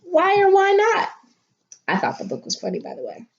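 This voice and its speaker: A woman teacher reading a picture book aloud to children and talking them through it, with expressive, dramatic delivery and drawn-out emphasis. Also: very high voice, gravelly, muffled recording. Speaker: elementary school teacher.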